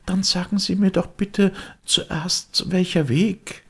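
A man's voice: sits high, steady tone, slow pace, vocal fry, fairly bright tone, breathy edge, pitched above the performer's own voice, full character voice. A man voicing a polite, hesitant little girl in a storybook reading. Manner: courteous, tentative.

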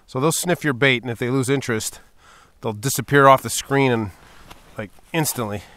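A man in his thirties chatting excitedly to viewers while ice fishing outdoors on a snowy lake, recorded on a camera mic. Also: medium pitch, clear, resonant tone, dry recording. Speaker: fishing vlogger. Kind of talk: casual vlog narration.

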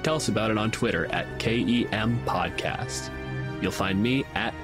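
A man's voice: on the low side, normal speed, music in the background. A man co-hosting a podcast in a casual, chatty style about tabletop games. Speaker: podcast co-host.

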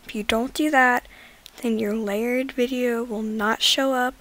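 A teenage girl with a raspy voice, rambling casually through an editing tutorial and slipping into whispers at times. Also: fairly high pitch, fairly even intonation, unhurried, fairly bright tone. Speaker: gaming video creator.

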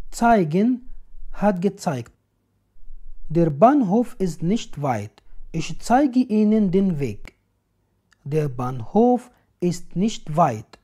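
A woman reading example sentences slowly and deliberately, each one twice, as a language teacher in a voice-over. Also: fairly low pitch, mellow. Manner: slow, deliberate, clearly enunciated.

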